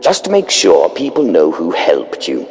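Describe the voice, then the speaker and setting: A man's voice: low voice, huge pitch swings, measured pace, a little mellow, heavily acted. A man acting a cold, ruthless Sith in a video game, curt, imperious and menacing. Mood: curt pride. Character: cold, ruthless male Sith player hero. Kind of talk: scripted video game dialogue.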